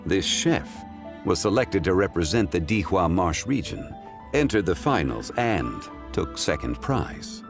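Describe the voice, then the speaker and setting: A man voicing an ancient, wise, scholarly gentleman in a video game cutscene, speaking in a formal, measured and reflective way. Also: low-pitched, sing-song, over music, exaggerated voice. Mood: musing. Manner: formal, measured, eloquent, contemplative.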